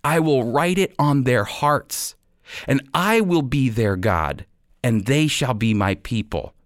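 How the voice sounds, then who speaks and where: A man with a mid-pitched voice teaches the Bible on the radio. His delivery swings expressively up and down, with emphatic stresses and deliberate pauses. The sound is close and dry, as in a studio. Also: lively intonation, no room sound. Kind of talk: radio sermon, Bible teaching with scripture reading.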